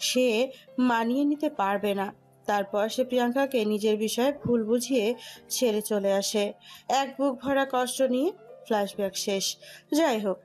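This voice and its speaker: A woman's voice: fairly high pitch, darkish tone. A woman narrating a scripted dramatic story over music.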